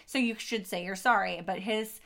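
A woman talking through a true crime case in a relaxed chat with a friend. She retells events loosely and slips into quoted voices, with a medium-pitched everyday voice and casual swearing. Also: moderate pace, gravelly. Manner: storytelling, acts out quotes, swears. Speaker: podcast co-host.

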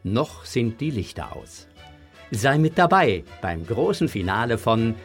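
Guy narrating a kids story, lowish husky voice, swoopy and dramatic, soft music behind. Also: sing-song, unhurried. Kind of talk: scripted storytelling for children.

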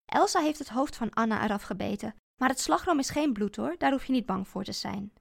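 A frantic mother near breaking point, as a woman reader puts her on, her voice climbing high and shrill with desperation. The voice is gravelly with heavy fry and sits close and dry. Audiobook character reading. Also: lively intonation, fairly quick, no room sound, exaggerated voice. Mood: anxiously coaxing. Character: frantic, unstable young mother clinging to her child.

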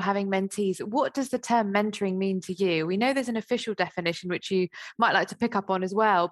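A woman's voice: mid-range voice, no room sound. A woman hosting a podcast, asking layered questions in an encouraging way. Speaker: podcast host. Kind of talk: interviewing.

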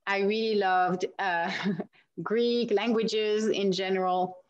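A woman's voice: mid-range voice, steady tone, takes it slowly, muffled recording. A woman from France with a French accent, chatting as an interview guest and laughing often as she answers. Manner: warm and chatty, laughs often, many restarts.

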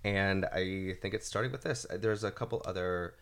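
A man with a congested voice co-hosting a podcast, chatting casually and gossiping with quick asides and bursts of laughter. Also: deep voice, fairly bright tone, clear, resonant tone. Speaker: podcast co-host. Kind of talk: casual chat.

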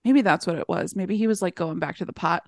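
A woman's voice: lowish voice, fast, dry recording, clean studio sound. An American woman co-hosting a podcast in casual comedic chat, with a wry, joking manner. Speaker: podcast co-host.

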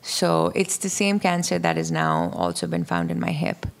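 Young woman from Pakistan, chef guest; frank, plain, heavy vocal fry. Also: low voice, sing-song, moderate pace. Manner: candid, matter-of-fact, filler-heavy. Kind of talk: podcast interview conversation.

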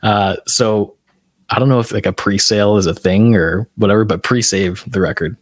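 A man's voice: fairly low pitch, moderate pace, no room sound. A man talking casually and in a laid-back, slangy way as an interview guest on a podcast. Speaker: podcast guest, band musician.

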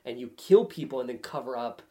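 A man chatting casually about film trailers in an animated, sardonic, freely swearing way. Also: medium pitch, fast.

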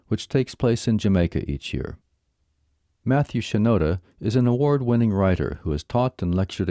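A man announcing a lecture program, reading a scripted introduction in a smooth, measured way. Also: lowish voice, mellow, no room sound, clean studio sound. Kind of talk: scripted narration.

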